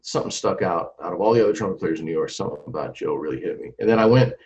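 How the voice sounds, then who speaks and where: A man in his forties talking about his music career in a casual interview, animated and full of restarts and repeated words. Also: low-pitched, very fast. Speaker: trumpeter and singer, interview guest. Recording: dull lo-fi sound.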